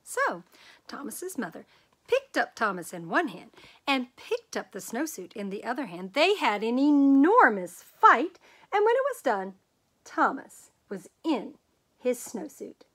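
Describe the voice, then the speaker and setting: Lively, high-voiced woman reading a storybook. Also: breathy edge. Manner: expressive, dramatic emphasis with drawn-out words. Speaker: storyteller reading a children's book aloud. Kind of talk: animated read-aloud of a picture book.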